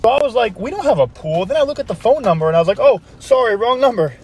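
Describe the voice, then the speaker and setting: A man's voice: very high-pitched, fast, slight background noise. A man casually telling a comedic anecdote and acting out the dialogue as he goes. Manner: animated retelling, acting out dialogue.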